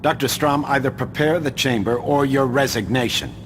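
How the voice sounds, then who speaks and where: An actor playing a ruthless middle-aged company boss, curt and commanding, in a video game. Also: male voice, medium pitch, moderate pace, close to an everyday voice. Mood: cold impatience. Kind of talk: video game cutscene acting. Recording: clear background noise.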